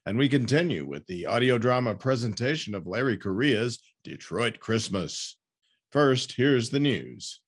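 A man's voice: medium pitch, sing-song, a little slow, husky. A man working as a podcast host, reading announcements and hosting an author interview.

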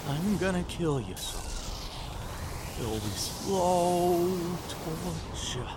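A man playing a sadistic, gloating supernatural killer in a pulp audio drama, taunting with menace and drawn-out words. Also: on the high side, animated. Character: sadistic gloating supernatural male killer who fades through walls. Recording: clear background noise.

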